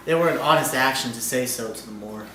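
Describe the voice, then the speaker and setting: A man's voice: mid-range voice, fast talker, sharp tone, natural voice. Guy playing earnest formal officer in a shakespeare play, echoey, far from mic, noisy. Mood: grave concern. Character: earnest, honorable officer and gentleman. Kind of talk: classical Shakespearean stage acting. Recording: distant, echoey, noisy stage recording.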